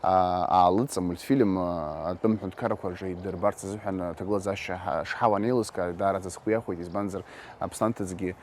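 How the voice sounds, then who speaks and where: A fairly low-pitched man answers in an interview, building his thoughts phrase by phrase with halts. Faint noise runs behind him. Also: fairly quick. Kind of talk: interview remarks. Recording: steady background noise.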